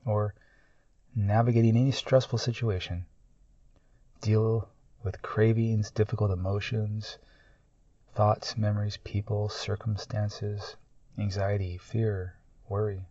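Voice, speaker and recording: Slow, low-voiced man guiding meditation. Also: no room sound, dull audio. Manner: calm, measured, long pauses between short phrases. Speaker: meditation guide. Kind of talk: guided meditation, slow and soothing.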